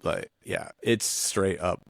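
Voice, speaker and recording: A man's voice: low voice, slow, gravelly, no echo, clean studio sound. A man co-hosting a podcast, brainstorming casually in loose, slangy, sweary language. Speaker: podcast co-host.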